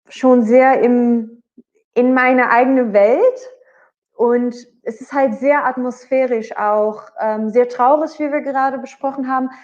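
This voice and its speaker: A woman's voice: on the high side, darkish tone. Dutch singer woman, dull call audio. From Netherlands. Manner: reflective, hesitant, searching for German words. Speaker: guest singer. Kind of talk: casual interview talk.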